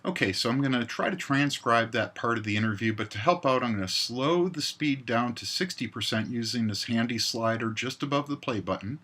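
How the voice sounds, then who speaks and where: Man with a bright, forceful voice. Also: medium pitch, a little fast, few pauses. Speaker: tutorial presenter. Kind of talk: step-by-step software demonstration. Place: indoors, screen recording voice-over.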